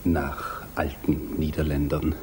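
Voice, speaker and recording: An actor playing an ailing, bookish gentleman in his late forties, wry and resigned, musing hesitantly in a 1950s German radio drama. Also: a bass voice, monotone, slow pace. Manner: hesitant, musing, half-whispering asides. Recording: noisy 1950s radio recording.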